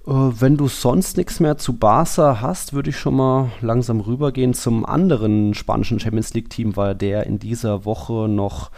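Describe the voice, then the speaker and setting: A man hosting a football podcast, steering the casual chat in a relaxed way and introducing a guest. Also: medium pitch, moderate pace, gravelly, hardly any pauses. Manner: relaxed, steers the talk with short backchannels. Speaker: podcast host.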